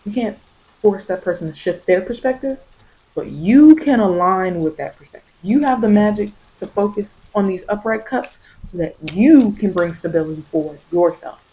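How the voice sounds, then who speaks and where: A woman's voice: mid-range voice, expressive, normal speed, dark tone, low-quality recording. A woman giving an informal ASMR tarot reading, coaching the listener with emphatic, repeated phrases. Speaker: tarot reader.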